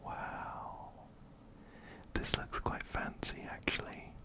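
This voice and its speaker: A man hosting an ASMR game playthrough in a gentle, breathy whisper, very close to a binaural microphone. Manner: gentle, unhurried, soft-spoken. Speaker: ASMR gaming host. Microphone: close binaural microphone, ear to ear.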